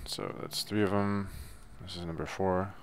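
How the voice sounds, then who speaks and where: A man's voice: sits low, flat delivery, moderate pace, background noise. A man giving a laid-back, offhand, unscripted walkthrough commentary as he plays through a video game. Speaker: gameplay guide narrator.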